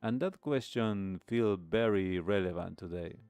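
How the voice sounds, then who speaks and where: Male talk host, low register, very unhurried and deliberate, reflective monologue over a music bed. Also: darkish tone, clean tone, no room sound. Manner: measured, deliberate phrasing with pauses. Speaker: radio show host.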